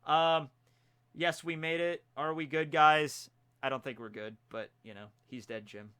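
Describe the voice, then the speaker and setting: A man's voice: on the high side, steady tone, unhurried, sharp tone, smooth. A man in his twenties hosting a live stream from a room at home, chatting casually while he fixes technical problems. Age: 20s. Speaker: stream host.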